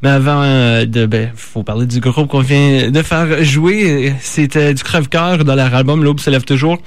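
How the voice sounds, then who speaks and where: A man with a Canadian French accent hosting a radio show, talking informally and haltingly as he stumbles over words and corrects himself. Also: medium pitch, dry recording. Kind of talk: informal live radio talk.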